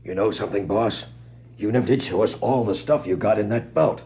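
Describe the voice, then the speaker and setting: An actor playing an admiring, slow-witted gangster henchman with a gravelly voice in a 1960s children's record drama. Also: lowish voice, mellow, lightly acted. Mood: curious, admiring. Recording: muffled 1960s vinyl record.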